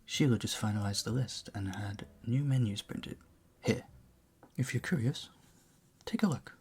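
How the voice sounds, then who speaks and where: A man's voice: fairly low pitch, unhurried, a little mellow, husky. An actor playing a charming, flirtatious vampire bartender in an intimate audio roleplay, suave and teasing as he speaks to the listener. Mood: friendly, curious.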